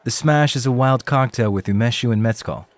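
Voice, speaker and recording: A man's voice: mid-range voice, a little fast, dry recording, lightly acted. A man acting in an ASMR roleplay as a laid-back rookie club host, casual, hesitant and a little awkward. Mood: eager, casual.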